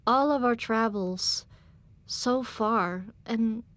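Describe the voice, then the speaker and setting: A woman's voice: a little high, extremely slow, a bit bright, dry recording. A woman reading scripted first-person story narration as an audiobook narrator.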